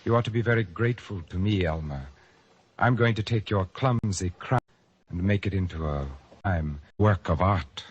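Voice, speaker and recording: An actor playing a cultured, scheming male painter in a 1940s radio drama, with a smooth, purring delivery. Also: sits low, normal speed, highs cut off. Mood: cunning. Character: suave sinister male painter, cold and scheming. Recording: old radio broadcast.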